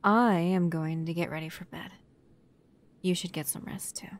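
A woman playing a protective, upset human lover in an ASMR roleplay, stern yet often softly whispery. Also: fairly low pitch, steady tone, moderate pace, lightly acted. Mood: soft, affectionate. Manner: soft, breathy near-whispers mixed with stern scolding.

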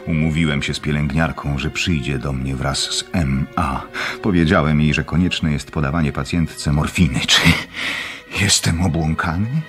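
An obsessed, brooding doctor in a radio play. His voice is extremely deep, questioning itself as he hungers for power, with faint music underneath. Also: normal speed, a bit bright. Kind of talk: radio drama diary monologue.

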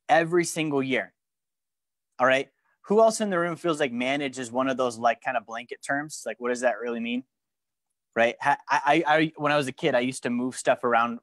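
A man's voice: mid-range voice, dry recording. A young man teaching a live finance course in a studio, talking animatedly and often stopping to ask the room questions. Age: young adult. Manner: animated, gestures at a screen while teaching; frequent restarts and questions to the room. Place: studio with a branded backdrop and a large screen.

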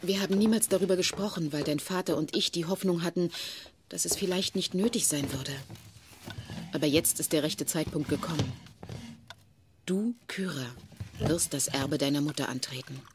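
A woman's voice: deep voice, moderate pace, background noise, lightly acted. A woman playing a solemn, kindly aunt revealing secrets in a children's radio play. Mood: grave. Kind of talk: German children's audio drama acting.

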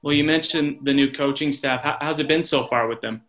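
A man's voice: mid-range voice, fairly quick. An American man in his thirties doing a casual sports interview as a radio announcer over a video call. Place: small office, indoors, on video call. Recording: video call with music underneath. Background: music.

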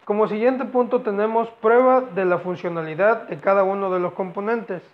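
A young man giving a halting student presentation, explaining project phases and repeating phrases as he goes. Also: high-pitched. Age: young adult. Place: indoors against a plain wall. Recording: dull lo-fi camera audio.

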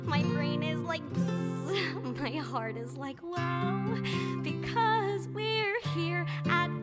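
An actress playing an excitable teenage girl who loves theater, rambling in a musical cast recording. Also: very high voice, very slow, exaggerated voice. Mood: singing. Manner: rambling, breathless chatter. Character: quirky theater-loving teen girl, excitable. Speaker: stage actress. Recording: echoey stage with loud music.

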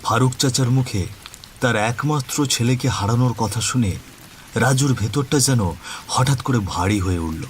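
A man's voice: mid-range voice, normal speed, slight background noise. A man narrating a scripted horror cartoon story in a dramatic storytelling style.

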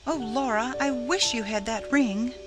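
A woman's voice: fairly high pitch, measured pace, over music, pitched above the performer's own voice, natural voice, rough recording. A woman voicing a timid, anxious schoolgirl friend in a storybook, meek and concerned. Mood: humble.

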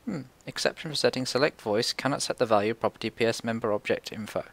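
A man's voice: medium pitch, fast talker, vocal fry, a bit bright. A man presenting a casual screencast coding tutorial, informally thinking aloud as he works.

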